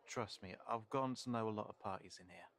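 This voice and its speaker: A man playing a cautious, protective fantasy character in an intimate audio roleplay, speaking haltingly and thoughtfully as if to one companion. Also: on the low side, fairly bright tone. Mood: confiding, wry. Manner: hesitant, halting, thoughtful, trailing off.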